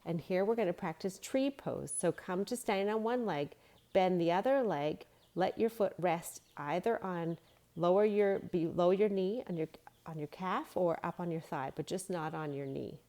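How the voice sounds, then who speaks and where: A woman's voice: on the low side, moderate pace, mellow. A woman narrating a read-along storybook and giving yoga instruction in simple phrasing for young children.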